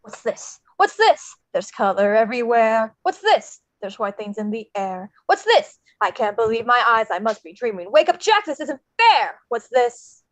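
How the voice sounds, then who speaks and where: A woman playing an excitable, wonderstruck male skeleton in a table read of a musical, with theatrical, rhyming exclamations. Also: a little high, normal speed, few pauses, no echo. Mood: amazed, excited.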